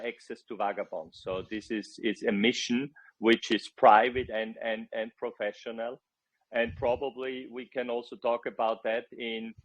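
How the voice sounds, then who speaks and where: A man's voice: medium pitch, lo-fi sound. A middle-aged man, a project founder, explaining earnestly in an online AMA and often searching for words.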